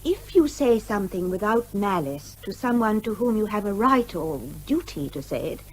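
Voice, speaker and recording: A woman's voice: mid-range voice, very animated, normal speed, breathy edge, low-quality recording. An actress playing a composed young British woman solicitor, patient, precise and earnest, in a radio comedy drama. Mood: patient, concerned.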